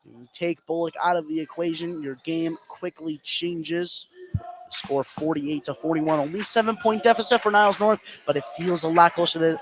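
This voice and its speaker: An American man doing live basketball play-by-play, getting excited and stretching his words on big plays. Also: a little high, normal speed, rough recording. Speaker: sports play-by-play announcer. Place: indoor high school gym. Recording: clear gym noise behind the voice. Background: gymnasium ambience.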